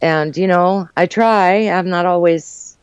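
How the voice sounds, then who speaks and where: A woman's voice: fairly low pitch, slow, clean tone, no echo, dull audio. An older woman, born in Uruguay and raised in New Jersey and New York, chatting as an interview guest and telling her story with lots of fillers. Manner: chatty, warm storytelling with frequent fillers and laughs. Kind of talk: casual interview chat.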